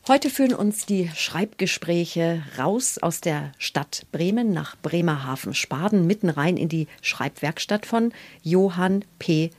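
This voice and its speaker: A woman's voice: lowish voice, animated, measured pace. A woman hosting a literary interview podcast, speaking in an articulate, courteous way. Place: indoors, in a writer's study.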